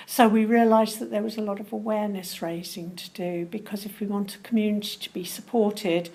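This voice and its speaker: Measured woman explaining her work. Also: medium pitch, a little mellow, slightly rough, rough recording. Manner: measured, explanatory, with pauses and fillers. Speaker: community safeguarding worker. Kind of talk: recorded interview.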